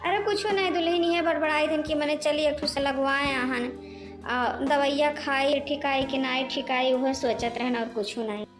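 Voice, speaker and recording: A woman's voice: high-pitched, fairly even intonation, normal speed, natural voice. A woman voicing a timid, sly old village mother-in-law in a cartoon comedy, rambling and placating with an Awadhi accent. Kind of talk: amateur cartoon comedy voice acting. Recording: music behind the voice.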